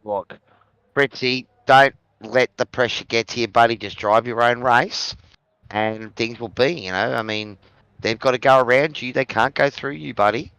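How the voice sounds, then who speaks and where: A man co-commentating a sim race in a relaxed, friendly way, giving drivers encouragement. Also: mid-range voice, moderate pace, smooth, no room sound, rough recording. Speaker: co-commentator and streamer. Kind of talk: casual commentary banter.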